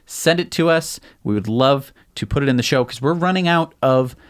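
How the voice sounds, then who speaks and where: A man co-hosting a show in casual chat, often jumping in with quick reactions. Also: medium pitch. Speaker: co-host.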